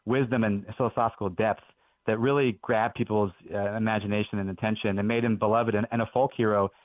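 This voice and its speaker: A man's voice: lowish voice, fairly even intonation, quick pace, no echo. A man in his forties, from Belgium, telling stories as a guest author in an earnest, enthusiastic way on a radio interview. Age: 40s. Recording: dull lo-fi radio audio.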